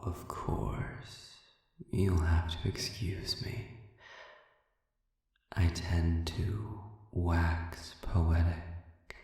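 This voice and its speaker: A man playing a sadistic, silver-tongued demon prisoner in an audio roleplay, speaking in formal, mock-courteous phrases with a breathy, whispery menace. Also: deep voice, darkish tone, exaggerated voice. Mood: mock courtesy. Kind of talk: scripted audio roleplay addressed to the listener. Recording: very echoey, cavernous reverb, distant.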